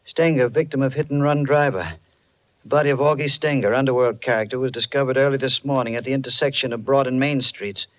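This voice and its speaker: A troubled, guilty husband from an old radio thriller, played by a male actor. He speaks at a middling pitch with earnest, dramatic urgency. The sound is dull, like a 1940s broadcast. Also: fairly even intonation, fast, lightly acted. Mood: uneasy. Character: anxious, desperate married man hiding a guilty secret. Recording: dull, band-limited 1940s radio recording.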